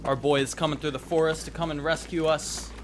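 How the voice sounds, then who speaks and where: Chatty man over clear background noise. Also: on the high side, flat delivery, a little fast. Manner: informal, chatty, rambling. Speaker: gaming YouTuber host. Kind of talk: casual game reaction commentary.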